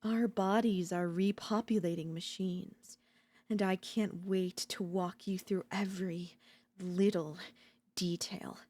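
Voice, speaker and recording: A woman playing an obsessive, domineering yandere in a dark ASMR roleplay, seductive and smug, slow and teasing, slipping into whispers. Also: medium pitch, fairly even intonation. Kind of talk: dark ASMR audio roleplay, intimate dramatic acting.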